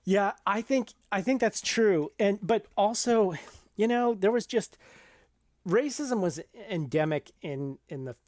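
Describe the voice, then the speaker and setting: A man co-hosting a casual film discussion, explaining things in a measured, conversational way. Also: high voice, expressive, darkish tone, no room sound. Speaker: podcast co-host discussing a film.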